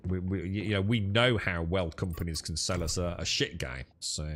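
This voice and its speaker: A man's voice: low voice, moderate pace, fairly bright tone. A young man streaming games, chatting with friends in a blunt, sweary, dismissive way. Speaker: gaming streamer. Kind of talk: casual gaming stream chat.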